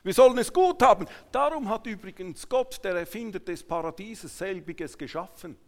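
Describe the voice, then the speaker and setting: A man with a Swiss German accent preaching a sermon to a congregation, emphatic and swinging between hushed asides and raised declarations. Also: sits high, lively intonation, normal speed. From Switzerland. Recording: long hall reverberation.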